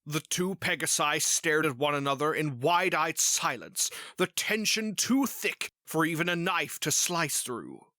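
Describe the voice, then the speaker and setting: Bright-voiced storybook narrator. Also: mid-range voice, big pitch swings, measured pace, hardly any pauses, no echo, clean studio sound.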